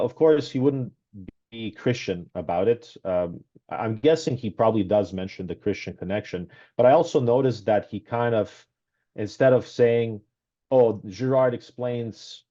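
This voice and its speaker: A man raised in Canada, speaking as a guest on a video call interview through a headset, talking casually with many pauses and restarts. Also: on the low side, mellow. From Serbia, raised in Canada. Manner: hesitant, many ums and restarts. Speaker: guest, author. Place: small room at home. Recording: dull lo-fi video call audio.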